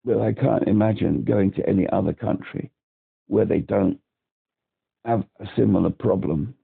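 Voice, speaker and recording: Gravelly British man on a phone line. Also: on the low side, moderate pace. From Britain. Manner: rambling, agitated, repeats himself. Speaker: caller. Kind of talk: phone call to a helpline. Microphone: telephone.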